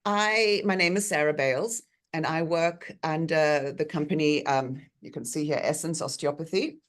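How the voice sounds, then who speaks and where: South african lady osteopath on a video call, low voice, lots of ums. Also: moderate pace. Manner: chatty, frequent ums while explaining. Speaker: osteopath guest speaker. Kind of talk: online talk presentation. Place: bright treatment room.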